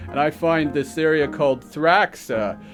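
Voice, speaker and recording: Guy doing a cocky alien superhero parody, kinda high voice, rambly bragging, music under it. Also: unhurried, lightly acted. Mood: rambling. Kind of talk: improvised comedy reaction skit.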